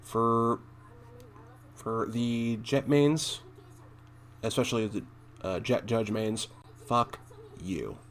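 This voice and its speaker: A man with a mid-pitched voice gives casual gaming commentary, reading notes aloud and adding his own take. His delivery is halting and unpolished, blunt in places. There is faint background noise on the recording. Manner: halting, hesitant, thinking aloud. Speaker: gaming content creator and streamer.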